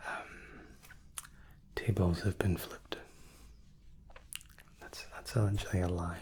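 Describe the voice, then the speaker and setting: A man speaks softly as a reassuring examiner in an ASMR roleplay. His voice is low and slow, often dropping to a whisper, and he gently repeats his words. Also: level tone. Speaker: ASMR host playing an examiner.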